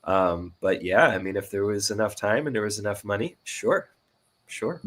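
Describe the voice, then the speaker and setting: A man's voice: lowish voice, moderate pace, fairly bright tone. A man speaking as an interview guest, casual and tentative, thinking aloud and qualifying his answers as he goes. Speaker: guest, indie audio drama writer and sound designer.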